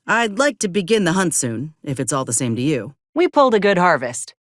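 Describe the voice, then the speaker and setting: An actress playing a gruff, loyal sci-fi soldier and medic in a video game, speaking in a folksy, plainspoken, measured way. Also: female voice, lowish voice, big pitch swings, no room sound, clean studio sound. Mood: wry, steady. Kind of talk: video game companion dialogue.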